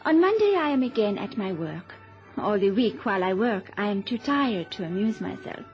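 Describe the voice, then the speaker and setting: An actress playing a cool, weary Viennese shop model in a radio play, clipped and formal. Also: female voice, medium pitch, sing-song, moderate pace, lightly acted. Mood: aloof, weary. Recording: dull old radio recording with music.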